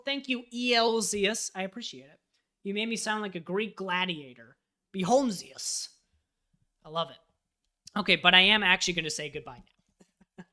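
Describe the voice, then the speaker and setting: A man's voice: high voice, sharp tone. A man from the United States talking casually on a livestream, thinking aloud as he fills out a form. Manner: chatty, thinking aloud while filling out a form. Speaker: live streamer and web developer.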